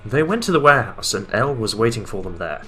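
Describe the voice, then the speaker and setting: A man's voice: on the low side, big pitch swings, fast talker, fairly bright tone, soft background music. A man hosting a comic, mock-dramatic read-aloud of fan fiction, given to giggly asides.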